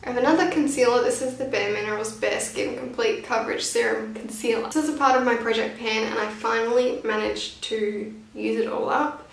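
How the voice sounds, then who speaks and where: Young woman, high voice, roomy echo, casual vlog chat, informal and self-deprecating, beauty reviewer. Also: steady tone, brisk, vocal fry, a little hiss or hum. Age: 20s. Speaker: beauty vlogger. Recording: roomy echo, distant from the mic.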